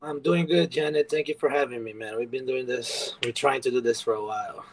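A man's voice: mid-range voice, fairly quick, gravelly, crowd noise, low-quality recording. A man from Brazil, a guest author telling his story in an earnest, rambling way full of fillers on a casual podcast.

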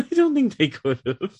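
A young man hosting a podcast, easygoing and quick to laugh, reading pop news in a light, casual way while a bit sniffly. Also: high-pitched, a little mellow, slightly rough, dry recording, lo-fi sound. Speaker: podcast host.

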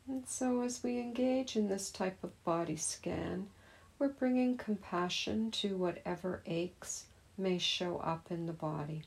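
A woman gently leading a guided meditation as a teacher, in a soothing, unhurried manner. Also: medium pitch, creaky, poor audio.

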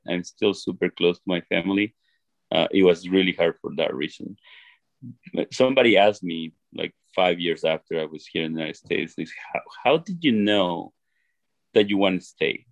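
A middle-aged man from Ecuador with an Ecuadorian Spanish accent, telling his life story to an interviewer in an earnest, halting way with many restarts. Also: low-pitched, vocal fry, no echo. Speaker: interview guest. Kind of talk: reflective personal storytelling.

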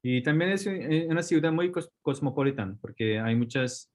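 Hombre con acento coreano, hablar vacilante. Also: male voice, mid-range voice, lively intonation, moderate pace. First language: Korean. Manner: hesitant, restarts words, mixes in English. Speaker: guest surgeon. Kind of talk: casual interview.

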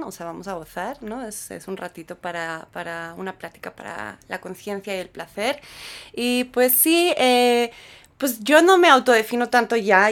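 A woman from Spain with a Spanish accent, a yoga teacher chatting as a podcast guest and telling her story with lots of fillers. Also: medium pitch. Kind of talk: casual conversation.

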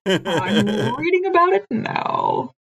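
A woman's voice: medium pitch, wildly swooping pitch, slow pace, creaky, crowd noise. A woman playing a tabletop game, thinking aloud as she weighs her options. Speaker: player. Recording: slightly dull lo-fi mic.

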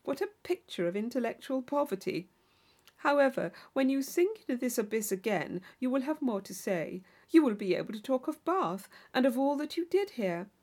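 Woman reading as a witty, teasing young gentleman. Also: high voice, very animated, moderate pace. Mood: amused, gallant. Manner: playful, mock-solemn, teasing. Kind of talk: classic novel audiobook character.

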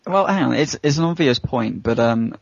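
A gravelly, husky-voiced man gives his opinions in a casual panel chat. He hedges as he makes his point. The sound is dry and a little dull. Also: medium pitch, lively intonation, moderate pace, no echo. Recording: muffled lo-fi audio, dry.

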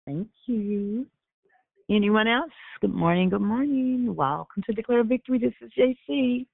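A woman's voice: fairly high pitch, a little slow, dry recording. Woman hosting a call over a tinny phone line. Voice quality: gets raspy. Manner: repeats greetings, warm affectionate address. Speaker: host of a prayer conference call. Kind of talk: call-in greetings. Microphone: telephone.

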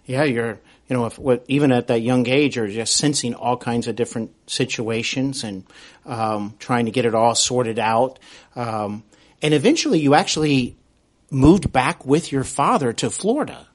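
A man with a medium-pitched voice hosts an interview. He gently recaps what he has been told, in agreeable and slightly halting phrases. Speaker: podcast host, interviewer.

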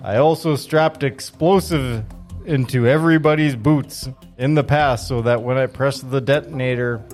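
A man acting an indignant, vengeful action hero in an amateur comedy script reading, with overdramatic, drawn-out delivery. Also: mid-range voice, darkish tone, soft background music, close to an everyday voice. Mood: boastful.

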